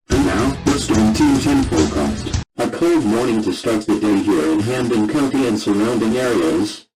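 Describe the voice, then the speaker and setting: A man's voice: fairly low pitch, darkish tone, rough recording. A man reading a scripted broadcast announcement as a radio announcer. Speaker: radio announcer and emergency alert reader.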